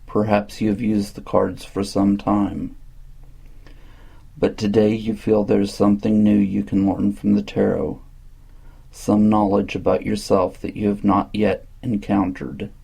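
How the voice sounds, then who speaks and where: A man narrating a scripted guided meditation in a slow, calm, soothing and measured manner, with a husky, gravelly voice. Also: on the low side.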